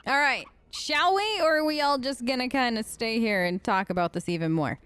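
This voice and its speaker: A woman gives chatty role-play commentary on a video game. Her voice is high and her manner is playful and bantering, recorded close and very dry. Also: moderate pace, a bit bright, clean tone, no room sound. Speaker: gaming video host.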